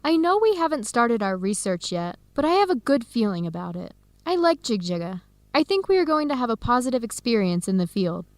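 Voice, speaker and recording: A woman's voice: on the high side, sing-song, brisk, dry recording. An actress playing a friendly, curious, earnest young woman researcher in an educational radio drama, chatty and inquisitive.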